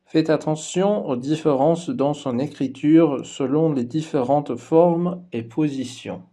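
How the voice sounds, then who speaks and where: A native Persian-speaking man leads a scripted lesson at an unhurried, deliberate pace. His voice sits fairly high for a man but sounds soft and mellow. He pronounces each example twice with care before translating it. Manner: slow and careful, repeating each word twice before translating it. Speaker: Persian language teacher.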